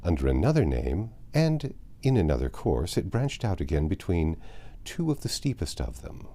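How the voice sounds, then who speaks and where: A man narrating a classic novel aloud in a measured, expressive storybook manner. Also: sits low. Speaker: audiobook narrator. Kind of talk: literary audiobook narration.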